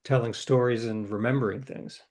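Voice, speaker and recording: A man's voice: medium pitch, fairly even intonation, normal speed, a little mellow. An older man, a novelist, telling stories in a casual interview, rambling and correcting himself, acting out quoted dialogue. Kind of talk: casual storytelling.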